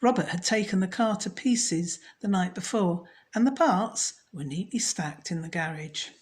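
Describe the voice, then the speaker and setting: A woman storyteller narrating a children's story in a measured, scripted read. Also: on the low side, expressive.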